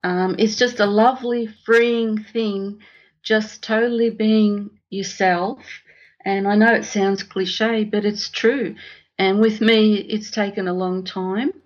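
An Australian woman speaking as an interview guest, candid and reflective, in a halting, casual way. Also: medium pitch, fairly even intonation, muffled recording. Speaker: podcast guest, artist.

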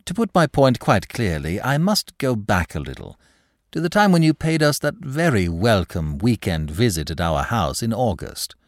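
Here is a man acting out an unflappable young gentleman clerk from a storybook. He is mock-courteous and ingratiating, his flowery sentences swooping widely in pitch, and he sounds close and dry. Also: very animated, normal speed, no room sound. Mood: smooth, self-assured. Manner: flowery, ingratiating, over-polite speech. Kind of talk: audiobook character dialogue.

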